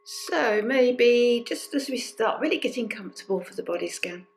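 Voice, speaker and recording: A woman leading a guided meditation as a teacher, speaking gently and unhurriedly in short phrases with pauses and soft invitations. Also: on the high side, lively intonation, creaky, a little hiss or hum. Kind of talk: guided body scan meditation. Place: recorded during an online session.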